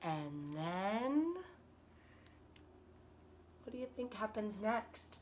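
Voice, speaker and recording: A woman in her thirties, a kindergarten teacher, reading a picture book aloud to children in an animated, expressive way. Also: medium pitch, a little hiss or hum. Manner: animated, expressive, drawn-out emphasis on words. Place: small living room by a fireplace, indoors.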